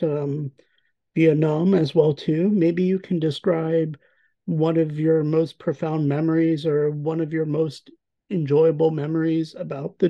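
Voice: mid-range voice, highs cut off. A host asking a guest long, friendly questions and reading out event dates, with the odd filler. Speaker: interviewer, host. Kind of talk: interview questions and event announcement.

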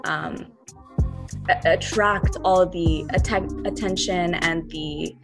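A woman's voice: on the low side, steady tone, takes it slowly, over music. A young woman, an R&B singer-songwriter, answering interview questions in a thoughtful, earnest way, with many fillers and restarts.

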